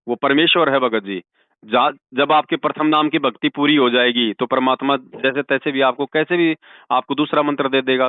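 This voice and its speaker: A man's voice: fairly high pitch, dry recording. A man giving religious counsel over the phone, speaking in an insistent, preachy way and leaning on devotional sayings. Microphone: telephone. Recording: tinny phone call recording.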